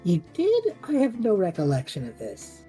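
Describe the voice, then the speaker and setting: A woman's voice: medium pitch, wildly swooping pitch, moderate pace, dark tone, faint music behind. A woman voicing a cocky, careless male student in an audio drama, casual and flippant. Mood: sheepish.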